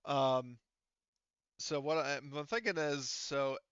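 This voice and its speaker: Male game master, bright and clear, informal, thinks aloud haltingly, close dry sound. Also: medium pitch, dry recording, highs cut off. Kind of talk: casual table talk running an online tabletop game.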